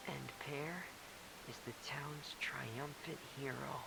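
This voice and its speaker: A man voicing a shy, gentle, dreamy teenage boy in a video game, speaking softly, breathily and hesitantly. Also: medium pitch, steady tone, slow pace, very noisy. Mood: earnest, wistful. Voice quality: soft, breathy, slightly creaky. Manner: hesitant, earnest, quiet. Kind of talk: video game cutscene acting.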